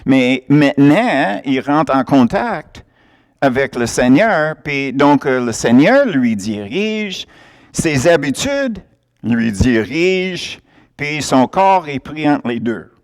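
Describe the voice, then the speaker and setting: A man's voice: fairly high pitch, sing-song, takes it slowly. A man preaching a sermon, emphatic and often laughing as he acts out little exchanges.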